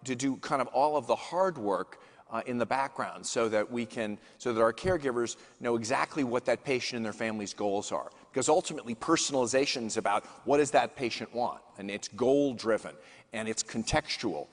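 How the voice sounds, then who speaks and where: A man's voice: mid-range voice, animated, a little fast. A male chief executive answering questions on a conference panel, earnest, often restarting his phrases. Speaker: CEO guest speaker. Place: conference stage in a large hall. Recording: long hall reverb.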